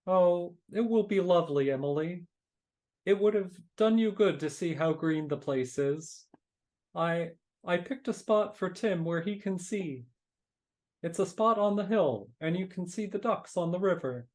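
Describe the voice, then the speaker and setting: A woman's voice: lowish voice, lively intonation, measured pace, darkish tone. A woman voicing a kindly, grieving frog father who consoles his family in a live dramatic reading. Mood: gentle sorrow.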